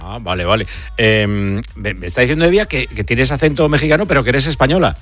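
A man's voice: medium pitch, animated, quick pace, fairly bright tone, faint music behind. A man hosting a sports radio show, chatting casually and joking, with many fillers.

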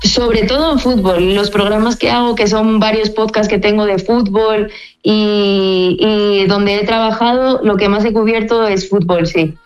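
A woman's voice: medium pitch, fairly even intonation, unhurried, faint music behind, rough recording. A woman from Spain with a mixed Spanish and Mexican accent, speaking friendly and conversational as a correspondent on a remote link. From Spain (Asturias).

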